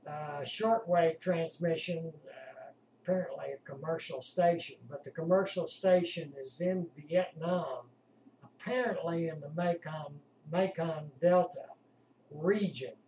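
A man's voice: on the high side, a little mellow. Older man chatting in a roomy, distant recording. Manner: halting, unhurried. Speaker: amateur radio operator, vlogger. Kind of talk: casual chat to camera. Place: small home radio room, indoors. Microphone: webcam at a distance. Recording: roomy distant webcam sound with noise.

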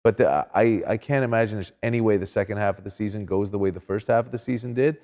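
Male sports pundit, middle-aged, dark relaxed tone, emphatic, reverberant room. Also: lowish voice, fast. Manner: emphatic, checks in with "right?". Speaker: sports commentator guest. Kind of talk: casual opinionated sports talk. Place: small indoor studio set with couches.

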